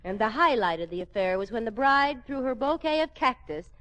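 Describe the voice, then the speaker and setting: An actress playing a wry, scheming young wife in a 1940s radio comedy. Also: female voice, a little high, a little fast, natural voice. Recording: noisy old radio, loud studio audience.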